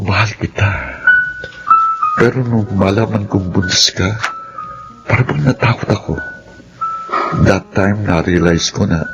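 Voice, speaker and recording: An actor playing a remorseful, earnestly pleading lover and father in a Filipino radio drama. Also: sits low. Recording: dull radio recording, music bed.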